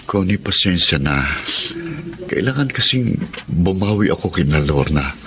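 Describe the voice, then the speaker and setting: Deep-voiced Filipino husband. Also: male voice, sing-song, a little slow, fairly bright tone, heavily acted. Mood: firm, apologetic. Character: apologetic two-timing husband, firm and secretive. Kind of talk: dramatic Filipino audio drama acting.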